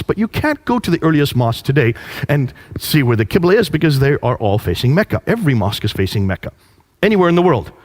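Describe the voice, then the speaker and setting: A man giving an illustrated lecture, speaking in an emphatic, declarative way and driving key points home by repetition. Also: mid-range voice. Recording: reverberant hall.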